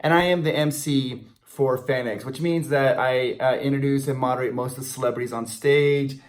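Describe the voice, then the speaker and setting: A man's voice: mid-range voice, fairly even intonation, moderate pace. A man in his forties with an American accent, co-hosting in casual, enthusiastic, jokey banter to camera. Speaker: video channel co-host, convention MC. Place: small indoor room before a banner.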